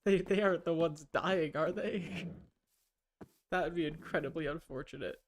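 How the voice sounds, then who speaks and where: A man livestreaming a game in casual chat, rambling and halting as he mutters to himself and answers viewers. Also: on the high side, a little hiss or hum.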